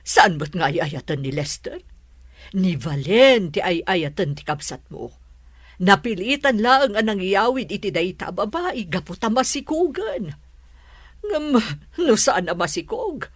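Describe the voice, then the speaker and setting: Woman playing a husky, swooping scheming mother-in-law. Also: mid-range voice, sing-song, measured pace, dry recording, clean studio sound. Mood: sly, reassuring. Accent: Ilocano. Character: sharp-tongued, scheming middle-aged mother-in-law. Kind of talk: Ilocano radio drama acting.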